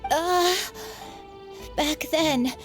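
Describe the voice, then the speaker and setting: A woman voicing a frail, forgetful old granny in a video game, halting, apologetic and wistful. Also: sits high. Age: older. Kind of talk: video game character acting. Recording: faint music behind.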